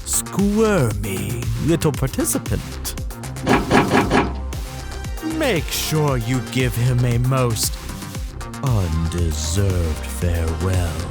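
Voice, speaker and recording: A grandiose, cruel game-show host in an audio drama. The delivery swoops wildly and takes its time, singsong and mock-courteous, over prominent music. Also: male voice, lowish voice, big pitch swings, drawn-out words, pauses often, exaggerated voice. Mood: sly menace. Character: theatrical, gleefully sadistic livestream game-show host.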